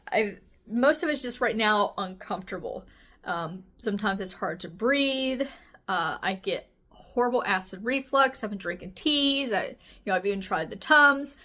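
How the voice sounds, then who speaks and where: A woman in her forties speaks in a somewhat high voice with a light rasp. She talks like a vlogger catching up with viewers, casual and candid, telling things in an animated way. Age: 40s. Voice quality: slightly raspy. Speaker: health vlogger. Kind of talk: casual chatty personal update.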